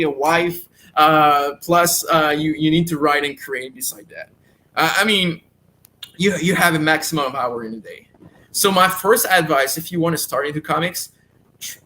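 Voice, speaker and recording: A man's voice: on the high side, sharp tone. A man with a Quebec French accent, French as his first language, speaking eagerly as an interview guest and often restarting words as he searches for them in English. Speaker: guest comic creator. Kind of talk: casual interview talk.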